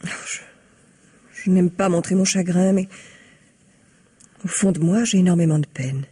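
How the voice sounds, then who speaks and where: An actress playing a composed, guarded married woman in a French radio mystery, speaking in a measured, formal, carefully worded way. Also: fairly low pitch, mellow, slightly rough.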